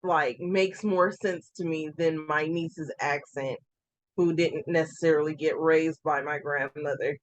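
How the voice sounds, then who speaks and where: A woman's voice: low voice, unhurried, no room sound. A chatty woman with a Californian accent and a slight Southern lilt, co-hosting a casual review chat. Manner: chatty, animated, laughs easily. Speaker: co-host.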